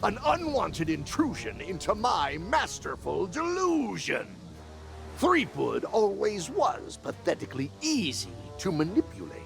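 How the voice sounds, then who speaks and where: Undead pirate tyrant, slow gravelly drawl, wildly swooping pitch, sneering, grandiose, game villain, loud music behind. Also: male voice, heavily acted. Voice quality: gravelly, creaky. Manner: grandiose, relishing each word, sneering. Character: gloating, domineering undead male ghost pirate villain. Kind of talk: video game villain acting. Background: prominent music.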